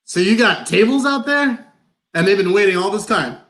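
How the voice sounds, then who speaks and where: A man's voice: high voice, lively intonation, normal speed, fairly bright tone. A man playing an incredulous, fixated fellow in a play reading, disbelieving and given to wistful digressions. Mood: baffled. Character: incredulous, fixated man hearing gossip about an old neighbor.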